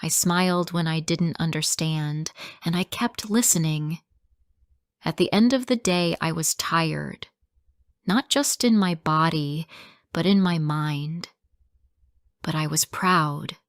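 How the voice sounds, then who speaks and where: Woman, storytelling narration, unhurried, clear simple sentences, dry close mic. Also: low-pitched, no echo. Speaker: storyteller for English learners. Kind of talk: scripted first-person story narration.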